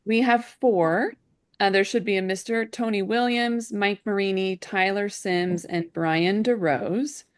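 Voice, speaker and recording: A woman's voice: medium pitch, fairly even intonation, a little slow, dry recording, studio quality. A woman presenting at a hearing in formal meeting talk.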